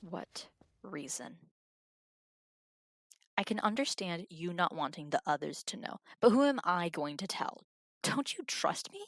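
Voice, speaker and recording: A female guard who wants to be trusted, in an audio drama. Her voice sits slightly low and is recorded dry, with a teasing, probing edge. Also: animated, measured pace, long pauses, a bit bright, no echo, exaggerated voice. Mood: hurt, challenging. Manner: probing, pointed.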